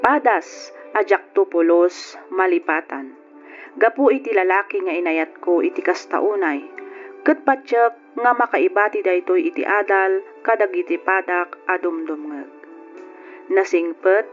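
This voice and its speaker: Through a narrow, tinny radio band with music behind, an actress plays a regretful young Ilocano woman narrating her own story. Her delivery is earnest, reflective and measured, in old radio drama fashion. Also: fairly low pitch, lightly acted. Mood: wistful.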